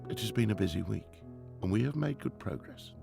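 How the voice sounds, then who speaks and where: A man's voice: fairly low pitch, lively intonation, a little fast, creaky. An actor playing a stern, driven widowed professor and father in a 1920s radio drama, with a formal, precise delivery. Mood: sombre, reflective. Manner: formal, precise, clipped scolding. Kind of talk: radio drama acting, diary narration. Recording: music under the voice.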